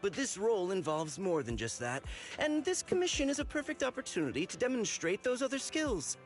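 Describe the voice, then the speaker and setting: An actor playing a smooth-talking male intelligence broker in a video game, persuasive and explanatory like a salesman. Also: sits high, very animated, fairly quick, soft background music. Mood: persuasive, reassuring.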